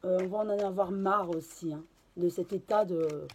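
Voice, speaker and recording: A woman with a hoarse voice from a cold, giving a casual card reading and channeling, with many hesitations and restarts. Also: lowish voice, normal speed, darkish tone, a little hiss or hum. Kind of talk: casual tarot reading to camera.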